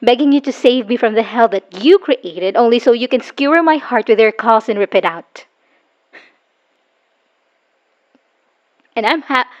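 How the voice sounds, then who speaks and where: A slightly muffled recording carries a high female voice, an actress as a woman worn out by an abusive relationship. She is bitter and scornful, hitting her words hard like someone finally leaving a furious goodbye voicemail in an audio drama. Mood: wounded, weary rage. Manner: scornful, swearing, emphatic. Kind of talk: dramatic audio roleplay monologue, spoken as a voicemail.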